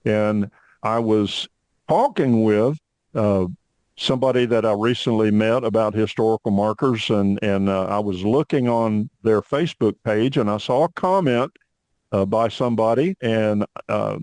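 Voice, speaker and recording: A man's voice: lowish voice, a little slow, a little mellow, clear, resonant tone, no room sound. A middle-aged man hosting a podcast, friendly and chatty, with frequent uhs as he talks. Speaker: podcast host.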